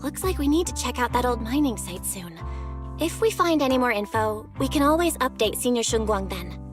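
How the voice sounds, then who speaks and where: A woman acts a thoughtful young female investigator in a game. Her slightly high voice is conversational and level-headed, with clear music behind it. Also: expressive, fairly quick, close to an everyday voice. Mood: resolved. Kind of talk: conversational video game voice acting.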